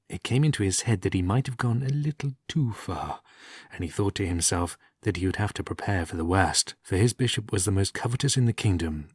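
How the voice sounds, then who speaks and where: A man narrating an old comic tale as a storyteller, in a measured, expressive, wry delivery with a husky voice. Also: low-pitched, no echo, studio quality. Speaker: audiobook reader.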